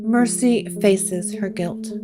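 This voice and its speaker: A woman narrating a scripted gothic story with deliberate, dramatic pauses. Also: mid-range voice. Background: plucked guitar music.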